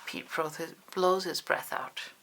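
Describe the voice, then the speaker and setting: A woman reading a children's picture book aloud in an expressive, animated way with dramatic emphasis. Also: on the low side, takes it slowly, creaky. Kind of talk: expressive storybook read-aloud for young children.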